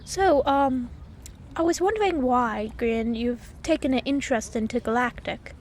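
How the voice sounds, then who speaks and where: A woman's voice: fairly high pitch, measured pace, a little mellow, slight background noise, lightly acted. A woman voicing a friendly, anxious bee-man leader in an audiobook, with hesitant, eager questioning. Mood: worried, probing. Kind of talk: audiobook character acting.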